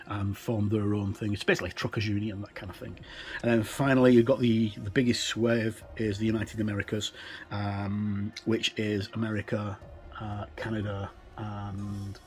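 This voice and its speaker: Faint music sits behind a middle-aged man with a fairly low voice. He talks casually as a tabletop game reviewer, explaining as he goes. His delivery is halting and unscripted. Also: darkish tone. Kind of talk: casual look-through commentary.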